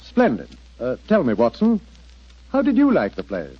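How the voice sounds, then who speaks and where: An actor playing a self-assured gentleman of the stage, with a husky voice and genial manner, in 1940s radio drama style. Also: medium pitch, huge pitch swings. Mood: hearty, genial. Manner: brisk, genial. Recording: dull 1940s radio broadcast recording.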